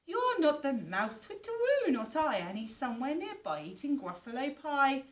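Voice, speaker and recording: A male owl hoots and draws out his words in a high voice, as a woman puts him on. He sounds a little haughty, and the room is echoey. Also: sing-song. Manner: hooting, drawn-out.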